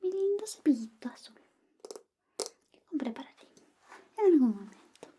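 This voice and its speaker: A woman playing an affectionate, teasing young best friend secretly in love, in a soft, breathy, whispered ASMR roleplay. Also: high-pitched, animated, a little hiss or hum. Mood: tender, playful. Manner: slow, coaxing, intimate, playfully teasing. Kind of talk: ASMR personal-attention roleplay.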